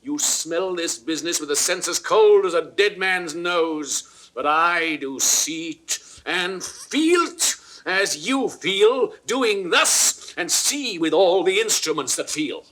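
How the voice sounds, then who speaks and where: A man's voice: high voice, expressive, slow, pushed and bright, exaggerated voice, poor audio. An actor playing a jealous, imperious king in declamatory, haughty Shakespearean audio drama. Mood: insistent, bitter. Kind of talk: classical Shakespearean stage acting.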